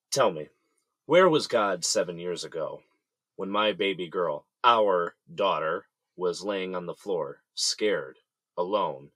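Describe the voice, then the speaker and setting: A man's voice: low-pitched, a bit bright, no echo, full character voice. A man playing a bitter, proud, grieving father behind bars in an audio drama, speaking in a pointed way. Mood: curt, resentful. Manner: pointed, rhetorical.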